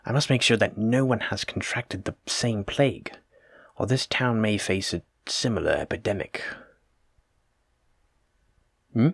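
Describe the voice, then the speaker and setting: A man's voice: lowish voice, expressive, normal speed, gravelly, fairly bright tone, husky, no room sound, studio quality, exaggerated voice. A man playing a courteous, evasive, self-important plague doctor in an audio roleplay, speaking formally and fussily in old-fashioned wording. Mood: grave, explaining. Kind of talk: hobby audio roleplay acting, addressed to the listener.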